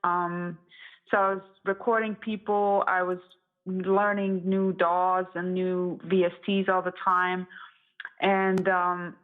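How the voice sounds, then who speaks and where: A woman's voice: on the low side, flat delivery, takes it slowly. A woman in her thirties from Estonia, talking casually and conversationally as an interview guest who produces music. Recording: thin, phone-like band-limited audio.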